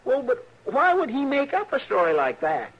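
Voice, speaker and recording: A man's voice: extremely high pitch, huge pitch swings, normal speed, fairly bright tone, heavily acted. An actor playing a loyal, fretful frontier deputy man who talks in a folksy, exclaiming, polite country way, in an old radio drama. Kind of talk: 1950s radio drama acting. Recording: old radio broadcast, dull and hissy.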